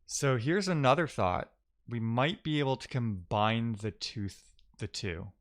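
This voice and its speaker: A man's voice: mid-range voice, animated, measured pace, dry recording, studio quality. A man talking casually through technical ideas as a live-coding streamer, musing aloud and posing questions to himself.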